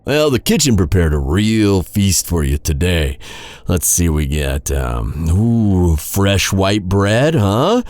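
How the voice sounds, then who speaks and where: Man acting a deep, brooding, taunting knight. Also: animated, a little mellow, no echo. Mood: sarcastic, needling. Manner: sardonic, mock-courteous, brooding, with sighs and pauses. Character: bitter, taunting knight guarding a captive enemy royal. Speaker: voice actor. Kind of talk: immersive dark fantasy ASMR roleplay.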